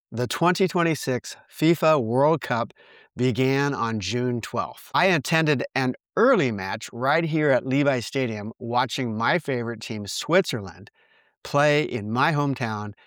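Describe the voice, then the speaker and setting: A man in his sixties from the United States hosting his own podcast, reading reflective narration in an earnest, measured way. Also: mid-range voice, lively intonation, a bit bright, no room sound, studio quality. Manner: earnest, measured, reads from a script. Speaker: podcast host.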